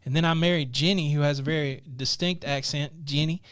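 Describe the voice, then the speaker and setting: Middle-aged guy from the midwest chatting on a live stream, slightly high voice, dry close mic. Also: fairly even intonation, a little fast, a bit bright, clear, resonant tone, dry recording. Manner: chatty, reads viewer comments aloud. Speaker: co-host. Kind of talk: casual live-stream chat. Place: small studio room with a purple backdrop. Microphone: studio mic on a boom arm.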